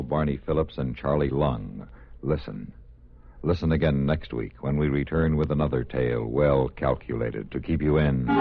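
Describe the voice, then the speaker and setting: An American man announcing a vintage radio suspense series in a measured, formal, dramatic style. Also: extremely low pitch, no echo. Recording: dull old radio recording with music.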